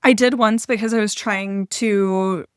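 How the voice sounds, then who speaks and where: A woman's voice: medium pitch, fairly even intonation, measured pace, clean tone, dry recording, studio quality. A woman who is a guest copywriter on a podcast, chatting in a playful, candid way with frequent "like". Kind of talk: casual candid chat.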